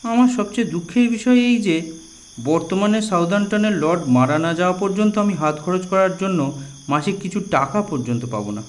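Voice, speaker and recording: A man's voice: sits high, animated, moderate pace. A man voicing a naive young nobleman heir who speaks hesitantly and stumbles, in an audio drama. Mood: plaintive.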